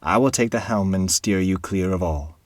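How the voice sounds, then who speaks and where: A man voicing a shrewd dwarf guardian in a storybook reading, calm, firm and menacing. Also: deep voice, normal speed, no echo, clean studio sound, close to an everyday voice. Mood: reassuring.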